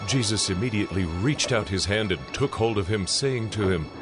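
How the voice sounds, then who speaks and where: A man's voice: fairly low pitch, normal speed. A man giving a solemn, scripted dramatic narration of scripture as a storyteller. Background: background music.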